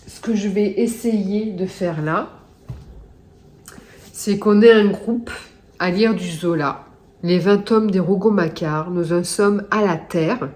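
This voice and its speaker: A woman's voice: mid-range voice, slow pace, darkish tone. A woman with a stuffy nose from a cold, chatting casually with many hesitations in a reading vlog. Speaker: book vlogger.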